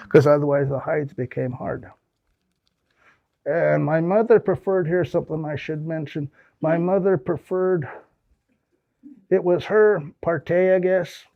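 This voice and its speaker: An older man from the US Pacific Northwest tells rambling, folksy stories to a small audience. His voice is gravelly and sits a little high, recorded dry and close. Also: no room sound, highs cut off. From Pacific Northwest, United States. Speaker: guest speaker. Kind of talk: storytelling talk with audience questions.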